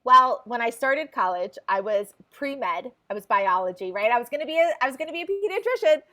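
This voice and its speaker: Chatty woman with a higher voice. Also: expressive, fairly quick, sharp tone. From New Jersey. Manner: chatty, laughs often, restarts phrases. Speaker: podcast guest. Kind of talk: casual interview chat.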